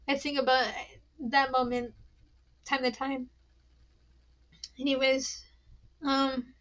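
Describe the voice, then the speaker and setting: A woman's voice: high voice, fairly bright tone, dull audio. A young adult woman with a young-sounding voice, hosting a casual solo podcast, rambling and nervous, swearing often and laughing at herself. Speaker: podcast host.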